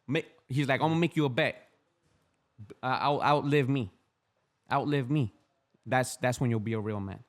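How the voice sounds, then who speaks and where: A man's voice: medium pitch, normal speed, long pauses. A man in his thirties, a podcast guest, talking candidly in a casual chat, rambling with many restarts and slang. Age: 30s. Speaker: podcast guest, street activist.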